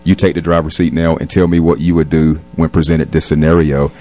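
Male interview guest, very low voice, reflective, measured, hesitant phrasing for a conversational radio segment. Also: level tone, warm tone. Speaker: police captain and community leader, interview guest.

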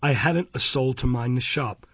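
A man's voice: medium pitch, normal speed, husky, dry recording, muffled recording, close to an everyday voice. A man voicing a poor, decent elderly bookstall keeper in an audiobook, speaking with earnest, determined insistence. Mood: earnest testimony. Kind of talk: dramatised audiobook reading of a classic novel.

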